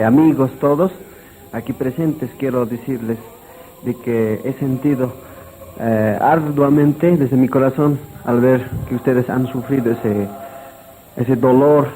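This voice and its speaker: A man playing a humbled, admiring character who speaks haltingly and earnestly in a radio drama. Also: medium pitch, mellow, slight background noise, lightly acted, rough recording. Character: humbled, admiring man moved by persecuted believers.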